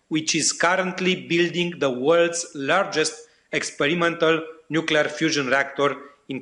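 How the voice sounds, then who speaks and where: A man who chairs a parliamentary committee reads a prepared statement, formal and steady, adding filler pauses when he goes off script. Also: a little high.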